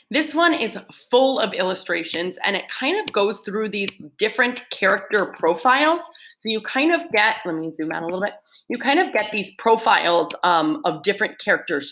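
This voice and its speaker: A woman teacher reading book summaries aloud to her students in an expressive storytelling manner. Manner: lively, expressive read-aloud with swooping pitch.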